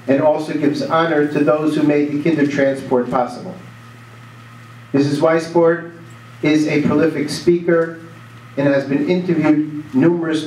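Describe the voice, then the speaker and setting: Man, formal school introduction, level monotone, slightly high voice, distant in echoey hall. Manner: measured, formal, even delivery. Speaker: school program presenter. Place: school auditorium. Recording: echoey hall, distant mic, faint noise.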